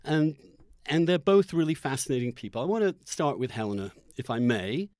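Male podcast host, mid-pitched voice, close dry recording, halting conversational delivery with emphatic key words. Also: expressive, a little mellow, no room sound. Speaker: host interviewing guests. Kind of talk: conversational podcast introduction and interview.

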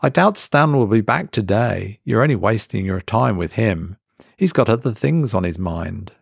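A man's voice: lowish voice, expressive, normal speed, vocal fry, breathy edge, dry recording, clean studio sound, exaggerated voice. A man voicing a curt, unwelcoming middle-aged small-town waitress in an audio horror story, terse and ominous.

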